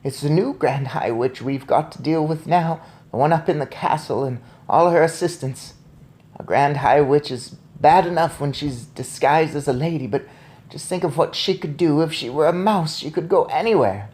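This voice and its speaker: A woman voicing a fierce, bossy, excitable old grandmother in an emphatic, theatrical storybook reading. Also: deep voice, normal speed, voice pushed up, close to an everyday voice. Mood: impatient, fierce. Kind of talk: storybook read-aloud.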